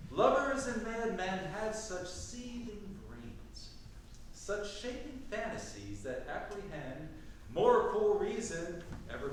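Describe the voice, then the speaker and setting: An actor playing a stately, festive duke in a declamatory, theatrical staged Shakespeare reading. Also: mid-range voice. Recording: echoey hall, distant mic, faint noise.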